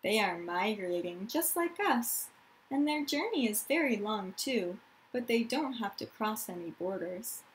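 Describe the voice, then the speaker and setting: A woman teacher reading a picture book aloud to children in an expressive, deliberate storytelling manner, with explanatory asides. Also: mid-range voice, rough recording. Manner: dramatic, deliberate storytelling delivery, addressing children directly.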